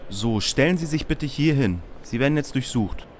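An actor playing an officious, dry security officer in a parody radio play. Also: male voice, medium pitch, expressive, normal speed, very noisy, natural voice. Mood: official.

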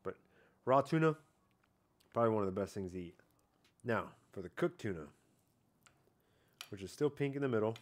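Laid-back bearded vlogger man, lower voice. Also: expressive, moderate pace, pauses often. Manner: laid-back, rambling, hedging with "I don't know" and "like". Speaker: fishing vlogger. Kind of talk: casual catch-and-cook vlog. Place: outdoor patio at night. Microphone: head-mounted camera.

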